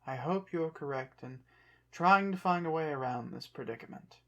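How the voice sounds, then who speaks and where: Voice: mid-range voice, dull audio, lightly acted. An actor voicing a proud, touchy ancient Egyptian revived from the dead, speaking formally and guardedly in an ASMR roleplay. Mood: guarded, reluctant. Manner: formal, haughty, guarded, halting phrases. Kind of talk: ASMR roleplay, one side of a dialogue.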